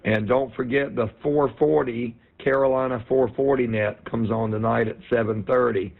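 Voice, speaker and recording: A man's voice: mid-range voice, steady tone, moderate pace, vocal fry. A man giving a check-in report as an amateur radio operator on a net. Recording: dull lo-fi radio link audio.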